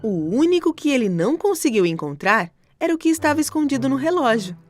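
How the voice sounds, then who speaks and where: A woman narrates a children's tale in a high, expressive voice. Her delivery is dramatic and lively, rising and falling widely, with music clearly behind her. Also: fairly quick. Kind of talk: scripted storybook narration.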